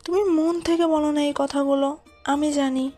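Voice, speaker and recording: Casting: actress as clingy, lovesick young woman for romantic audio drama; extremely high voice, plaintive pleading, music behind. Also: fairly even intonation, normal speed, mellow, close to an everyday voice. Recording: voice over a music bed.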